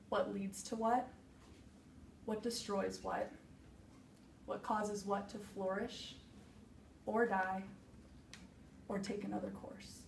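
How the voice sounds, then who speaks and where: A young woman performing a dramatic monologue as a grieving, self-searching woman hiker, in deliberate, emphatic phrases with heavy pauses. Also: medium pitch, creaky, darkish tone. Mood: searching, defiant. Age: young adult. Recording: roomy echo, distant mic, faint noise.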